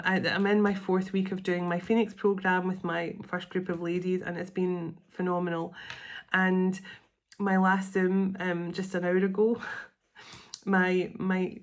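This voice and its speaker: A middle-aged woman with a sniffly, congested voice, giving a casual spiritual channeling reading, with soft invocations and chatty, rambling asides. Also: lowish voice. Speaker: spiritual channeler and tarot reader.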